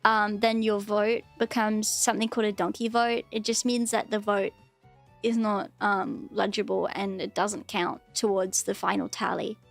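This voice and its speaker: A woman's voice: mid-range voice, flat delivery, moderate pace, vocal fry, soft background music. A young woman chatting casually on a live stream, rambling with lots of fillers.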